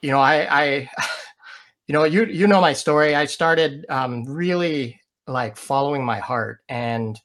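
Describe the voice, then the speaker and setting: A man's voice: on the high side, slow pace, a bit bright. A man who is a guest in a casual interview, telling stories with animation and frequent laughs. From Michigan, USA.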